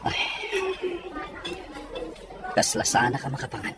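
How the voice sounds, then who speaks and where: A man's voice: measured pace, music in the background, crowd noise, natural voice. A man playing a reserved, dutiful young working man in an amateur radio-style drama, speaking earnestly.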